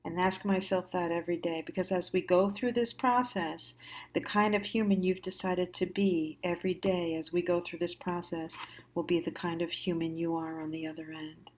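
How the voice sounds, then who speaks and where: An American woman giving a reflective monologue as a host, musing haltingly and stressing key words. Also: lowish voice, steady tone, darkish tone. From United States. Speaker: host of a personal storytime show.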